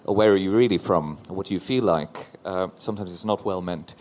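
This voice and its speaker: A man from Norway in his forties speaks on a panel with a fairly low voice. He tells a personal story slowly and thoughtfully, often restarting his sentences. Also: a little mellow. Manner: thoughtful, halting with repeated restarts. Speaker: panel guest. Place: stage before a live audience.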